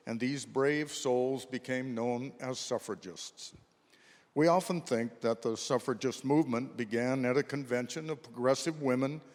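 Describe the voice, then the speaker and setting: A man narrating history from a script for a staged reading, with formal, deliberate phrasing, in a reverberant hall. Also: medium pitch. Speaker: narrator of a readers theater. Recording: echoey hall with long reverb.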